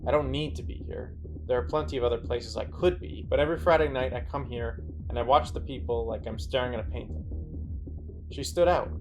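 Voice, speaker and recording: An actor playing a restless, observant young romantic, narrating in a measured, introspective way for an audio drama. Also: male voice, mid-range voice, close to an everyday voice, low-quality recording. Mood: detached, musing.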